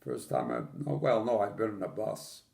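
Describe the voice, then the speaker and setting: A man's voice: fairly low pitch, sing-song, normal speed, creaky, a little mellow. An older man from Poland, telling his childhood memories in an oral history interview with dry, matter-of-fact humor.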